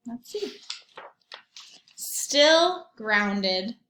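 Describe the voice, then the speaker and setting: A woman with a fairly high voice reads a picture book to young children at a slow pace. She is expressive and uses simple phrasing, in a roomy, echoing space. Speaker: teacher reading aloud to young children. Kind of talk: storybook read-aloud with questions and comments. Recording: roomy echo.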